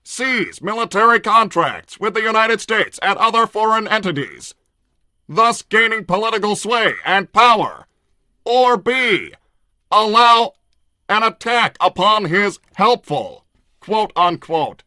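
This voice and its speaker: A war-hungry cartoon robot states its plans in a high, piercing voice. It is stiff and formal, coldly deliberate and free of emotion. The recording is dry and close. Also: male voice, sharp tone, dry recording, full character voice. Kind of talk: improvised in-character conversation.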